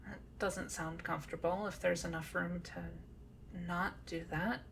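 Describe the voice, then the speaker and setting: A woman's voice: lowish voice, fairly even intonation, unhurried, gravelly, slight background noise. A woman voicing a sweet, timid cat girl in an ASMR roleplay, nervous, polite and hesitant. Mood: uneasy. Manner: hesitant, polite, softly reluctant.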